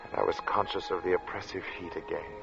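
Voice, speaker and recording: An actor playing a brooding, haunted artist who narrates his story in a deliberate, dramatic 1940s radio style. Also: low voice, flat delivery, vocal fry. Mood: haunted, uneasy. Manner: deliberate, dramatic, with weighted pauses. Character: male artist recounting a strange, oppressive hot day, brooding and haunted. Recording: dull vintage radio recording with loud music.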